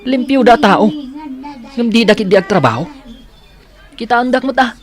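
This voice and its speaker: Grumpy scolding boyfriend, haughty, Ilocano accent, radio soap delivery. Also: male voice, extremely high pitch, lively intonation, moderate pace. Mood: scornful, irritated. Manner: grumbling, scolding. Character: irritable, haughty boyfriend, scornful of beggars and strangers. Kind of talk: Filipino radio drama acting.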